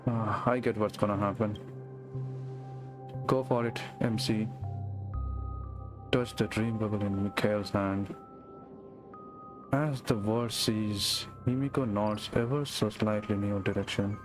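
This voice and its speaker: A man with a fairly low voice streams a story game. He comments casually or reads the text aloud, with game music clearly audible behind him. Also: steady tone, a little slow, pauses often. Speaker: gaming streamer.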